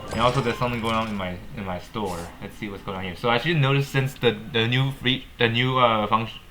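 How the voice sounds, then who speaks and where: Male game vlogger, deep voice with a bright edge, stop-start casual commentary, noticeable noise behind. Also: low-quality recording. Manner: halting, repeats and rephrases words. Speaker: gaming video host.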